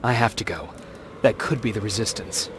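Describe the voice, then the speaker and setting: A man's voice: normal speed, natural voice. An actor playing a determined male resistance soldier in a video game, terse and urgent in a naturalistic style. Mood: guarded, resolute. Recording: rumbling ambient noise behind.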